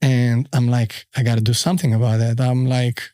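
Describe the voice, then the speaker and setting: A man in his thirties from Albania with an Albanian accent, a podcast guest telling his story in a halting, easygoing way with many fillers. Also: mid-range voice, little pitch movement, dark tone, no echo, studio quality. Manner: unhurried, halting, frequent fillers. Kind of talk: casual storytelling interview.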